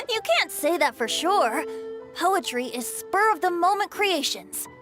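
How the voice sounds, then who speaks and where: A woman's voice: very high voice, very animated, takes it slowly, over music, heavily acted. A woman voicing a carefree boyish bard in a video game, playful, theatrical and wheedling. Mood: breezy.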